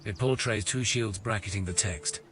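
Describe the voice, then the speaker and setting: Low-voiced male fantasy game narrator. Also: normal speed, fairly bright tone. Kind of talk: scripted second-person fantasy game narration. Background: music.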